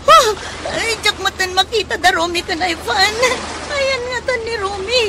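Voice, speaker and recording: Radio drama casting: frantic young castaway, piercingly high, exclamatory, over noisy ambience. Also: female voice, normal speed, a loud crowd. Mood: struggling, frightened. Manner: urgent, exclamatory. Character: frantic young woman shipwrecked at sea, fighting to survive. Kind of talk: Ilocano radio drama acting. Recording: noisy, with busy outdoor engine-like ambience.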